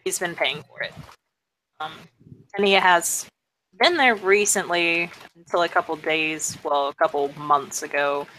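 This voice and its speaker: A woman's voice: low-pitched, pushed and bright, slight background noise, rough recording. A woman playing a tabletop game, chatting bluntly in character with swearing and lots of fillers. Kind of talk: casual in-character roleplay chat.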